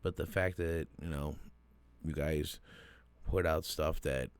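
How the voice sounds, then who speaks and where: A man's voice: extremely low pitch, fairly even intonation, takes it slowly, clean tone, dry recording. A man hosting a music reaction video, talking casually and profanely with lots of fillers. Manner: profane, laid-back, frequent fillers. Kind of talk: casual album reaction commentary.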